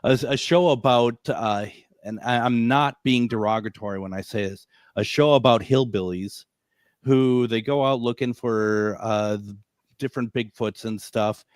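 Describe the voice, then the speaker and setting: A man's voice: medium pitch, normal speed, dry recording, clean studio sound. A man hosting a radio interview, chatty and often repeating words as he thinks aloud. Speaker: radio show host. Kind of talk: casual interview conversation.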